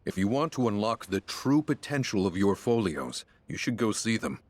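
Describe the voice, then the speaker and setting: A man's voice: fairly low pitch, big pitch swings, moderate pace, no echo. A man voicing a polite, formal innkeeper in a video game, deferential and helpful. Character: courteous, formal male innkeeper, measured and helpful. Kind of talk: scripted video game dialogue.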